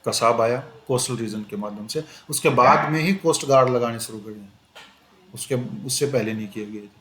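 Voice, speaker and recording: A male teacher giving a conversational exam-prep lecture, switching between Hindi and English and repeating "okay" as a filler. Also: medium pitch. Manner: conversational, repeats "ठीक है" often, switches into slow English phrases. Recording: echoey room with faint ambient noise.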